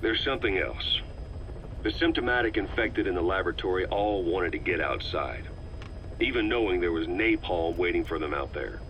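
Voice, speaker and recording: Man playing very deep inquisitive commander, dull taped sound with faint hiss. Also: moderate pace, lightly acted. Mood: questioning. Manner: curious, probing questions. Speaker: commander questioning scientist. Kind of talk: game audio log acting. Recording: dull noisy cassette tape.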